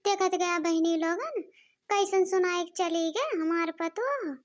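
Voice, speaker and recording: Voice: very high voice, takes it slowly. A cartoon performer voicing a bossy, complaining village mother-in-law with an Awadhi accent and a childlike voice, scolding and grumbling. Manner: scolding, grumbling, addresses viewers directly. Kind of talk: exaggerated cartoon comedy dialogue.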